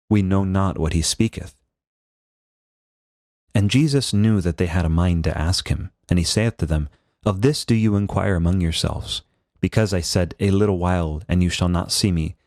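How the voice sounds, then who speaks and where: Deep-voiced man giving a solemn devotional reading. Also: darkish tone, no room sound, clean studio sound. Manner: solemn, measured, formal. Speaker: priest reading a devotional book. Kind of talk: scripted devotional narration, scripture reading.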